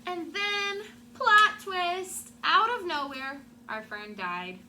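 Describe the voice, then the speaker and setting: A woman teacher reading a picture book aloud to her class in an expressive storytelling way, with asides to the children. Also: very high-pitched, takes it slowly. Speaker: elementary school teacher. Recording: clearly noisy classroom recording.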